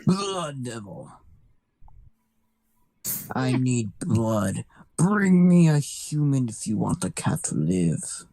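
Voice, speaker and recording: A man voicing a monstrous, bloodthirsty bat devil with a slow, theatrical delivery in an anime fan dub. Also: mid-range voice, big pitch swings, mellow. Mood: disgusted, hungry.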